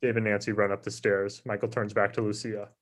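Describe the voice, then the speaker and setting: Male narrator for screenplay table read: lowish pitch, very flat delivery, matter-of-fact. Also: brisk. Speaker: stage-direction reader.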